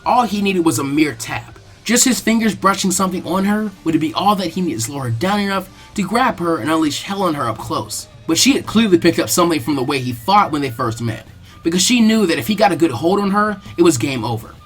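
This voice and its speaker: A man narrates an adventure story at a quick clip. His voice sits a little high, the delivery is scripted and dramatic, and music plays faintly behind it. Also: expressive, a bit bright.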